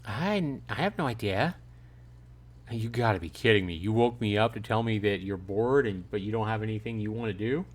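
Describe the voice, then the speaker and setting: A man's voice: fairly low pitch, animated, moderate pace. A man voicing a sleepy, grumpy, sarcastic male protagonist while reading a visual novel aloud. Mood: exasperated. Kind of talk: playful character reading on a livestream.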